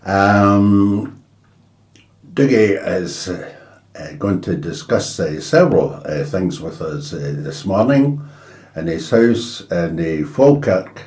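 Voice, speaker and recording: Scottish male interviewer, very low and dark voice, halting informal delivery, roomy recording. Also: animated. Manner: halting, chatty. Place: indoors in a house. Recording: roomy, echoey home recording.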